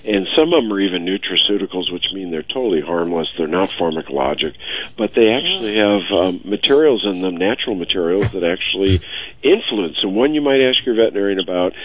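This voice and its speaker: A man, a veterinarian, giving relaxed, reassuring advice to callers on a radio show. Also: sits low, fast talker. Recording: phone-like narrow studio sound.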